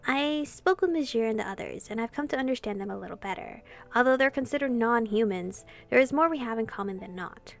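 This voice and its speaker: A woman with a fairly high voice and a clearly creaky edge does a casual let's-play. She reads game narration aloud and slips into giggly, chatty asides, with faint music behind her. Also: lively intonation, brisk, few pauses. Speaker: gaming streamer reading a visual novel aloud.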